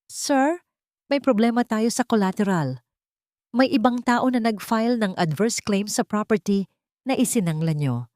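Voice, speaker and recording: A woman's voice: on the high side, unhurried, a little mellow, no echo, clean studio sound, pitched above the performer's own voice, close to an everyday voice. A woman voicing a worried bank manager in an audio story, formal and urgent.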